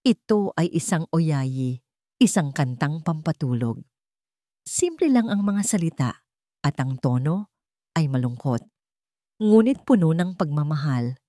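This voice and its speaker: A woman narrating a scripted dramatic audio story with measured phrasing. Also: on the low side, mellow, dry recording, studio quality.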